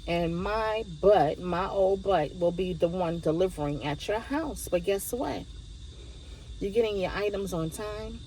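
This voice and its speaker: Woman, 50s, mid-pitched. Casual advice vlogger, conversational, repeats herself for emphasis, some background noise. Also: a little slow, darkish tone, low-quality recording. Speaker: gig delivery driver giving advice to viewers.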